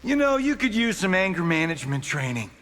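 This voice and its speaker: An actor playing a flippant, sarcastic movie-star fighter with a slangy manner in a fighting game. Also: male voice, high-pitched, big pitch swings, a little fast, fairly bright tone, big-room sound. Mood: brash, mocking. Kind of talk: fighting game character dialogue.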